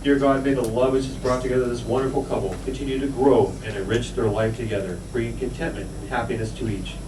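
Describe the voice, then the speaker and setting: A man officiating at a wedding, speaking in a formal, measured, prayerful way. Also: mid-range voice, steady tone, background noise. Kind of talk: wedding ceremony address. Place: wedding hall, indoors. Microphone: camcorder from across the room. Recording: roomy home camcorder audio from far off.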